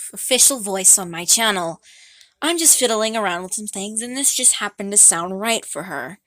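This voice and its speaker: A woman's voice: medium pitch, a bit bright, no room sound. A woman who runs a channel, giving a casual spoken announcement to her viewers. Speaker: channel creator.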